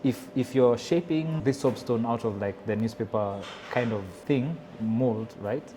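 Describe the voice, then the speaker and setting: Male, Kenyan accent, mid-pitched, relaxed conversational interview delivery as a chef, noticeable background noise. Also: measured pace. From Nairobi, Kenya. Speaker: chef and restaurant owner.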